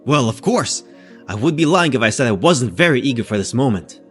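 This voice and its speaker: A man's voice: mid-range voice, expressive, brisk, faint music behind, close to an everyday voice. A man playing an eager, courteous physicist in a scripted audio drama, speaking formally and politely. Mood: curious, eager. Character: eager, courteous male physics scientist newly arrived.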